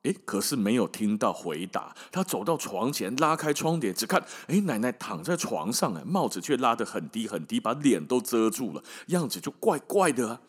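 Animated Taiwanese man telling a fairy tale. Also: medium pitch, moderate pace, hardly any pauses, husky. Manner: colloquial, irreverent, expressive. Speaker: podcast host and storyteller. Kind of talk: casual fairy-tale storytelling with comic asides.

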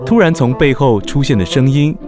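A man narrating a children's audio drama as an expressive storyteller. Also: medium pitch, fairly quick, over music, natural voice. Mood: dramatic, concerned.

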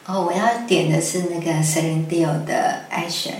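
A woman guest chats casually in a fairly even, slightly low voice. She sounds a little away from the microphone, in a strongly reverberant room. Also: measured pace. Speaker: radio show guest. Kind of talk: casual conversational interview. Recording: very echoey room, distant mic, faint noise.

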